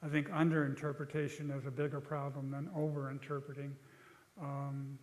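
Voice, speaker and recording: A man's voice: medium pitch, completely flat delivery. Older man lecturing in a reverberant hall. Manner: thoughtful, halting, many restarts.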